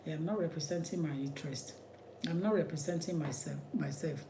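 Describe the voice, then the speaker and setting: Man, Nigerian accent, immigration lawyer, emphatic preacherly commentary, warm dark voice. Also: on the high side, brisk, gravelly, a little hiss or hum. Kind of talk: impassioned commentary.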